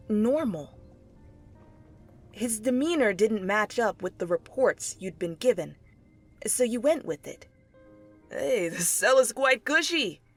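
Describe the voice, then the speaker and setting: A woman reading fan fiction aloud as an expressive second-person narrator in an ASMR style. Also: mid-range voice, breathy edge. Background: faint music.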